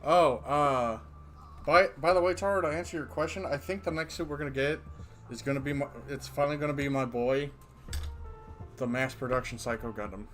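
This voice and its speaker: A man's voice: mid-range voice, fairly quick, a bit bright, soft background music. A man bantering crudely with co-hosts while reading out chat messages. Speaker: streamer. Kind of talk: casual livestream chat.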